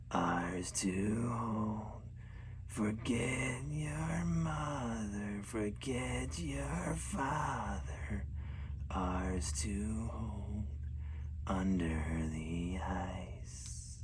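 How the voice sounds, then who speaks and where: A man voicing menacing creatures that live under the sea ice, in a slow, breathy whisper. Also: medium pitch, a little hiss or hum. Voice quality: breathy whisper, like snow blowing over ice. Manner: slow, drawn-out, sing-song when chanting.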